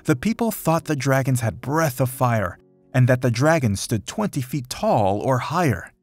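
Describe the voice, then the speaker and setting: A man reads a children's story in rhyming verse with a slightly husky, breathy edge to his voice. His pitch moves in a lively, dramatic way as he tells the tale. Faint music plays behind him. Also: normal speed. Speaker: storybook reader. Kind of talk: children's picture book read-aloud, rhyming verse.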